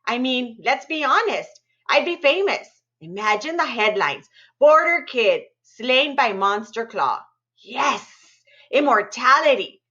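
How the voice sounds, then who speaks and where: A woman's voice: high-pitched, sing-song, slow pace, pushed and bright, pitched above the performer's own voice, exaggerated voice. A woman voicing a frightened, melodramatic young boy in a storybook read-aloud, pleading and earnest. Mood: wry, dramatic. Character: frightened, melodramatic young border boy narrating his tale. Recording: dull, muffled lo-fi audio.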